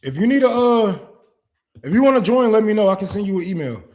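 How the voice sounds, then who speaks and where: Animated Detroit man ranting on stream. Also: high voice, fast talker. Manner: reads chat and thanks donors aloud. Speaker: livestream host. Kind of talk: casual livestream commentary.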